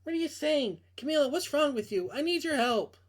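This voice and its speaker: A man's voice: very high-pitched, animated, a little fast, voice pushed up. A man voicing a frightened, desperate young woman in a visual novel, urgent and pleading. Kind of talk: visual novel character reading.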